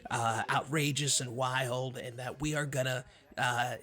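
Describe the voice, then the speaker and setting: A man plays a timid co-host on a small-town comedy radio show. His voice is husky and middling in pitch. He stammers and halts, awkward and eager to please. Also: steady tone, pushed and bright. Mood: awkwardly excited. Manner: halting, stammering, deferential. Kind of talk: improvised-sounding comedy community radio chat.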